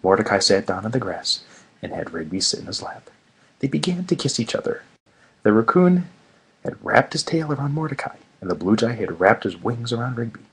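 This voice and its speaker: A man's voice: medium pitch, big pitch swings, brisk, slightly rough, poor audio. A man doing a comedic reading of fan fiction in a mock-serious manner with sarcastic asides.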